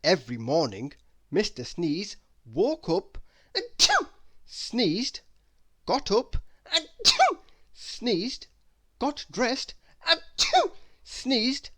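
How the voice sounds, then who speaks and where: A storyteller reading a children's book aloud in an expressive narrating style. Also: mid-range voice, drawn-out words, no room sound.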